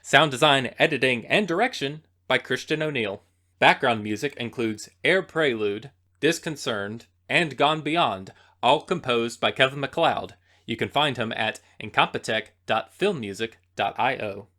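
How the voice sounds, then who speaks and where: A man's voice: mid-range voice, lively intonation, a little slow, bright, forceful tone. A man reading scripted credits as the announcer of an audio play production.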